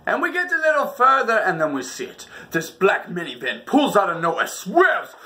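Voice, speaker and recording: A man's voice: high-pitched, wildly swooping pitch, normal speed, pushed and bright, a little hiss or hum. A man hosting a storytime, telling personal tales in a casual, dramatic way and acting out the moments. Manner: dramatic, casual, acts out dialogue and sounds. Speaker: storytelling channel host.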